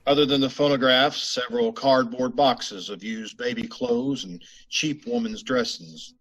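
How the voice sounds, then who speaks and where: An amateur actor playing a police sergeant who narrates a case in a clipped, flat, report-like old-time radio style. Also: male voice, mid-range voice, measured pace, lightly acted, poor audio. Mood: matter-of-fact.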